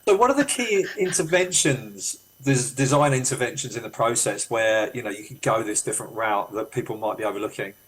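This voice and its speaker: A man with a husky, mid-range voice interviews an architect. He talks conversationally and reflects aloud with some hesitations before asking his question. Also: medium pitch, animated, a little fast, hardly any pauses, a bit bright, rough recording.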